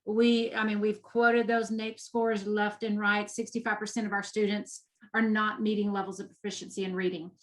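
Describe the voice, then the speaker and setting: A woman's voice: mid-range voice, level tone, normal speed, few pauses. A woman with a Texas accent, a guest in an interview, speaking with passion and repeating words for emphasis. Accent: Texan. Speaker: guest, nonprofit education executive.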